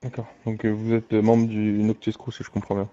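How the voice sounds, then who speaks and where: Man playing a very mellow, low-key crime boss. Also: completely flat delivery, brisk, lightly acted. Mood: cool, probing. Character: composed, formal male crime boss. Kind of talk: improvised online roleplay dialogue. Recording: muffled lo-fi game voice chat.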